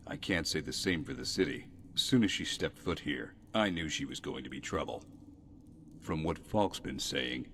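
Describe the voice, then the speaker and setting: Fantasy game guard officer, male actor: rock-bottom pitch, gruff, stern, tired of it all, dry sarcasm. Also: fairly bright tone, full character voice. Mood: weary, exasperated. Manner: weary, stern, sardonic. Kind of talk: video game character dialogue.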